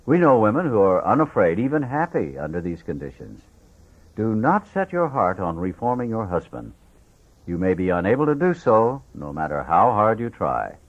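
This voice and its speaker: A man with a fairly low voice narrates an audiobook in a scripted read-aloud style. His pitch moves widely, giving the reading a lively, expressive contour. The recording is slightly dull and lo-fi. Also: moderate pace. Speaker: audiobook reader.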